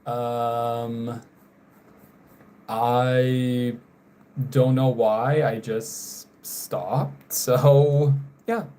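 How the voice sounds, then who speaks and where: Slow-talking young man, casual streamer. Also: medium pitch, level tone. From North Carolina, USA. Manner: slow, trailing off quietly, apologetic about names. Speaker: live streamer. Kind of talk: casual live stream chat while drawing.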